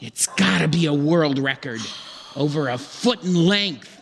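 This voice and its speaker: A man's voice: fairly high pitch, a little slow, gravelly, fairly bright tone. A man playing a flustered, pleading stranded ice fisherman in an old-time radio comedy sketch, stammering dramatically and repeating himself. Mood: excited. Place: theatre stage. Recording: echoey theatre hall with long reverb.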